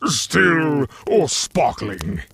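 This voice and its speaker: A gleeful Satan greets the damned like a chatty corporate host. The voice is high and slow, with extravagant swoops of theatrical politeness, in a comedic audio drama. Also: male voice, big pitch swings, creaky, fairly bright tone, breathy edge, dry recording, exaggerated voice. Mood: smug, courteous. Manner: theatrical, chatty, mock-courteous. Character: gleefully campy male Satan, punning corporate devil host.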